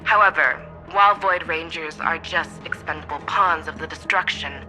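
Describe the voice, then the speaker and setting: A bright-voiced war strategist. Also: male voice, on the high side, soft background music, low-quality recording. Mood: grave. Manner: measured, cautious, briefing tone. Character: grave male military strategist. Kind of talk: game voice acting.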